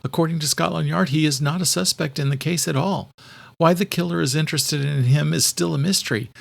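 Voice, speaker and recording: A man voicing an earnest, quick-thinking adult woman in an audiobook, explaining things insistently. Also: a little high, vocal fry, voice pushed up. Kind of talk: audiobook character dialogue.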